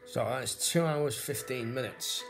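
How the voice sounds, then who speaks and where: A man's voice: medium pitch, a little slow, vocal fry, music in the background. A man chatting informally and hesitantly to viewers and on a phone call, with a matey, sweary, venting style. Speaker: comedian filming himself on a helpline call.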